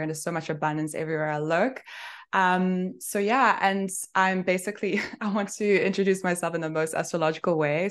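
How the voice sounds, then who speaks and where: A young woman from Belgium speaks as a guest on a remote call, gushing and warm in her wording. Her voice sits fairly low for a woman. She talks in a relaxed, chatty interview style with soft laughs. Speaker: astrologer and business mentor, guest. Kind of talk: casual interview chat.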